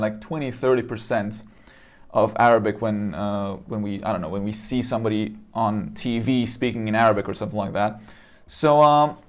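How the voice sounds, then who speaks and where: A man speaking casually and conversationally as he explains a subject to viewers, often checking in as he goes. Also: on the low side. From Iran. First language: Persian. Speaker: presenter.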